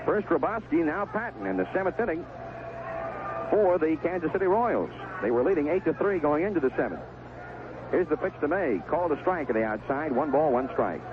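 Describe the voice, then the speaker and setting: A man giving live 1970s radio play-by-play of a baseball game, describing each pitch in short, clipped phrases. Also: sing-song, brisk. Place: outdoor ballpark broadcast booth. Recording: noisy, tinny narrowband vintage radio broadcast.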